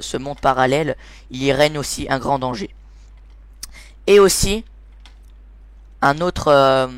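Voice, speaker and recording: A man with a somewhat high-pitched voice hosts an informal explainer over gameplay. His delivery is hesitant, and he often stops to search for the next word. The sound is very dry, with hardly any room in it. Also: fairly bright tone, no room sound. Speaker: host of a gaming video series.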